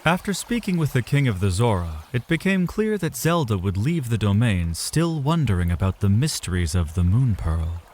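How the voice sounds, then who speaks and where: A man's voice: fairly low pitch, very animated, moderate pace, hardly any pauses, a little mellow, a little hiss or hum. A man narrating a scripted fantasy story as a literary, dramatic storyteller.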